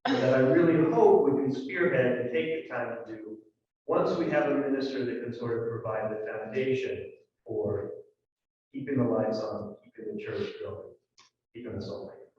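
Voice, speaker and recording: A man giving church announcements in a relaxed, conversational way, with fillers and asides. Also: medium pitch, moderate pace. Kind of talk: congregational announcements. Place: large church sanctuary. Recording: echoey hall, distant dull mic.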